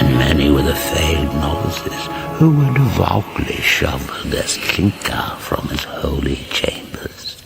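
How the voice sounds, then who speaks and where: A portentous epic storyteller for a radio comedy. His voice is extremely deep and full of gravel, sinking into breathiness as he narrates gravely over loud music. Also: exaggerated voice. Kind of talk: mock-epic scripted narration.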